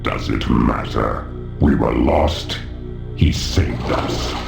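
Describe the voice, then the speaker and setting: A man voicing a proud, devout, monstrous aquatic vampire in a video game, grave and gravelly. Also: very deep voice, takes it slowly, reverberant, music in the background, heavily acted. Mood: solemn. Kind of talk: theatrical video game acting.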